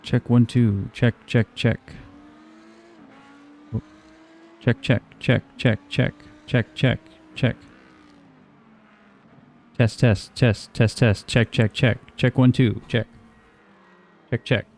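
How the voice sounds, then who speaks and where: A man with a quiet voice, streaming a sim race and chatting casually in a low-key, mumbly way while he tests his mic. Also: fairly even intonation, takes it slowly, long pauses, slight background noise.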